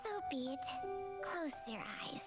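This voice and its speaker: A woman's voice: sits high, expressive, slow. An actress playing a small female snake spirit with a light, wry and casual way of talking, in a video game cutscene. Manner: light, breezy, casual talk. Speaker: game character. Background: game music.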